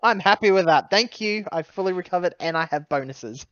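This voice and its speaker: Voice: medium pitch, animated, brisk, a bit bright, dry recording, lo-fi sound. A tabletop game player roleplaying and chatting casually in an assertive manner. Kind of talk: in-character roleplay and casual chat.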